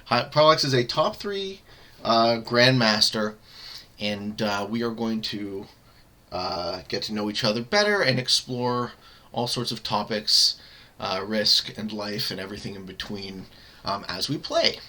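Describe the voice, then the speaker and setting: A man hosting a casual gaming podcast, talking in a halting way with many filler sounds while he sets up an online game. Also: fairly low pitch, animated, sharp tone. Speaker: podcast host.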